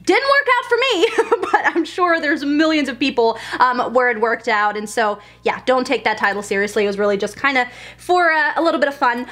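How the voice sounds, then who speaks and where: High-voiced chatty woman, German native speaker. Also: lively intonation, brisk, fairly bright tone. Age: 20s. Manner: chatty, candid, lots of fillers and self-asides. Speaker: lifestyle vlogger. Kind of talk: casual talk to camera.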